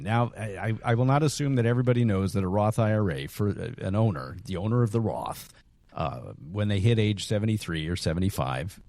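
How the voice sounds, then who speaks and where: A man hosting a financial talk show, speaking haltingly and often restarting his phrases. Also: fairly low pitch, creaky, a little mellow, no echo. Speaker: podcast host.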